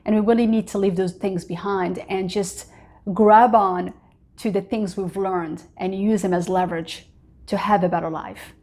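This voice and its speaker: A woman's voice: medium pitch, steady tone, normal speed, darkish tone. Earnest Moroccan-born woman vlogger. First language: not English. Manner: earnest, open, many fillers like "you know" and "uh". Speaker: vlogger host. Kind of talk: personal motivational talk to camera.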